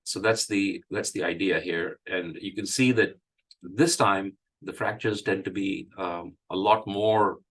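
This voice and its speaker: A man with a cold, hoarse, giving a halting technical presentation with many fillers. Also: sits low, fairly bright tone. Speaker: engineering presenter. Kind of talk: technical presentation at an online meeting.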